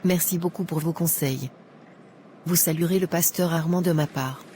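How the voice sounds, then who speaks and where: A woman's voice: lowish voice, moderate pace, warm tone, slight background noise, lightly acted. A woman playing a polite, patient single woman in her thirties, courteous in manner, in a cartoon. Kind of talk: cartoon voice acting.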